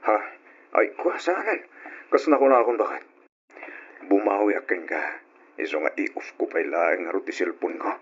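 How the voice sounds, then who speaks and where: Flustered, stammering Ilocano husband. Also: male voice, deep voice, big pitch swings, normal speed, long pauses, slightly rough, full character voice. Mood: evasive, placating. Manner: hesitant, stammering. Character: guilty, evasive married man, flustered and stammering. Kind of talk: old Ilocano radio drama acting. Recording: lo-fi radio drama, faint noise.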